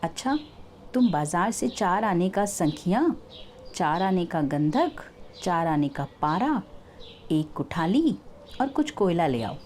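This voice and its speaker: A woman voicing a sly male swindler posing as a holy man in a told story, measured and instructing. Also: fairly low pitch, big pitch swings, darkish tone, a little hiss or hum.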